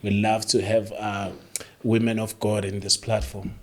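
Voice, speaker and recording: A man with a South African accent who hosts an interview podcast, talking casually and warmly with lots of laughs and "man". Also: lowish voice, flat delivery, measured pace. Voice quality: hype-man, laughs often, affectionate filler "man". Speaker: podcast host.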